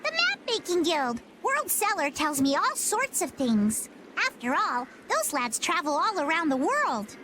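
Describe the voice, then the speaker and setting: A woman's voice: very high-pitched, big pitch swings, unhurried, background noise, exaggerated voice. A woman voicing a plucky, chirpy little girl pirate in a video game, with cheery nautical talk. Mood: cheerful. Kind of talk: video game dub acting.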